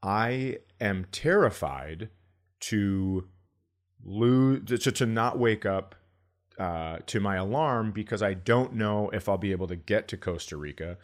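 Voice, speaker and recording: A man hosting a comedy podcast, telling stories in an animated, grumbling, sweary way and acting out quotes. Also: on the low side, unhurried. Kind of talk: comic storytelling in casual podcast chat.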